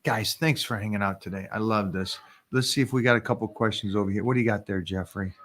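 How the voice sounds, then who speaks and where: A man hosting a live fitness coaching stream, talking in an energetic, emphatic way and repeating words when he gets excited. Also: fairly low pitch, fast talker.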